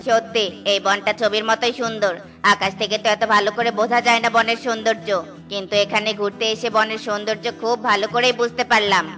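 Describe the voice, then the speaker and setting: A woman's voice: a little high, level tone, fast, sharp tone, soft background music. A woman voicing an earnest, cautious cloud who is easily offended in a children's cartoon. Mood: admiring.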